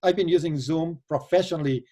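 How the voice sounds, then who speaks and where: A man from Argentina with an Argentine Spanish accent, speaking as a guest in a remote business interview, earnest and measured with small restarts. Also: fairly high pitch, warm tone. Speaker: venture investor guest. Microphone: video call.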